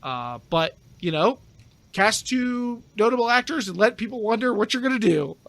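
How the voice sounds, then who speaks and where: A man's voice: high-pitched, very animated, moderate pace, creaky, a bit bright, no room sound. A man chatting casually as a podcast guest, speaking in an opinionated, emphatic way. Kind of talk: casual chat.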